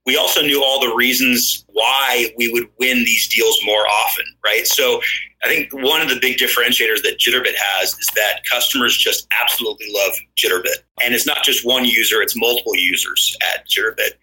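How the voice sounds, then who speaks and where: A man with an American accent whose first language is Danish, a guest on a podcast interview who explains things in a measured, thoughtful way. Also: medium pitch, sharp tone, low-quality recording. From born in London, raised in the US. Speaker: guest, marketing executive.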